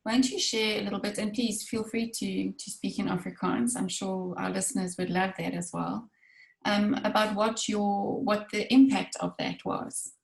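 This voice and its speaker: A woman hosts the interview and prompts her guests in a friendly way. Her voice has a gravelly edge, and the echoey room makes it sound roomy. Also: mid-range voice, steady tone, moderate pace. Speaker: interview host. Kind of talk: conversational interviewing.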